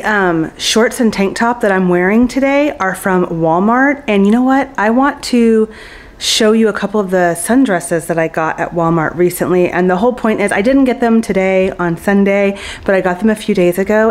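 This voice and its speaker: A woman in her thirties chatting casually through a grocery haul vlog at home, upbeat and friendly, with little laughs and fillers. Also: medium pitch. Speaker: vlogger. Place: home kitchen and living room.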